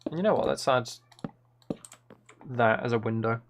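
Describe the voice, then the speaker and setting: A man's voice: mid-range voice, moderate pace, highs cut off, slight background noise. A man chatting casually on a live game stream, musing and thinking aloud as he plays. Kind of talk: casual live stream chat while playing.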